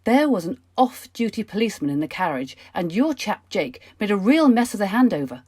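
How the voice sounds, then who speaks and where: A woman's voice: medium pitch, very animated, brisk, darkish tone, husky, no room sound, studio quality. A woman acting a sly, chatty passenger with an innocent front in an amateur radio play. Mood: smug, conspiratorial. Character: sly female passenger, outwardly innocent secret schemer.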